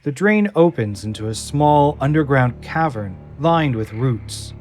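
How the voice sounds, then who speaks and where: A man's voice: medium pitch, lively intonation, unhurried, a little mellow. Male audio drama narrator over music.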